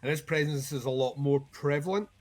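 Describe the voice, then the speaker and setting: A man's voice: fairly high pitch, a little fast, soft background music. A man co-hosting a livestream who rambles through a casual chat about albums and swears freely. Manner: rambling, many fillers and restarts, swears freely. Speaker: co-host.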